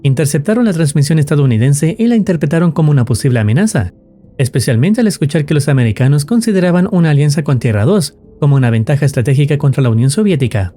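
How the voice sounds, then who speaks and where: Lively, higher-pitched male narrator. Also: fast talker, soft background music. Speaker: narrator of horror story explainers. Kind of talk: scripted narration.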